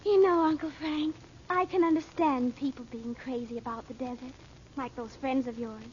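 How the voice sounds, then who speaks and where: A woman's voice: sits high, steady tone, normal speed, darkish tone, natural voice. An actress playing a romantic, easily flustered American teenage girl in an old radio drama, gushing and wistful. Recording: dull, noisy old radio recording.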